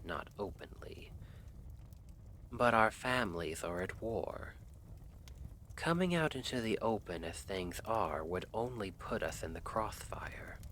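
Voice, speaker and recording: A man playing a brooding, devoted assassin in an intimate ASMR roleplay, speaking softly and formally, often in a half whisper. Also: low voice, a little hiss or hum. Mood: grave. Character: lovestruck male assassin, brooding, earnest, devoted.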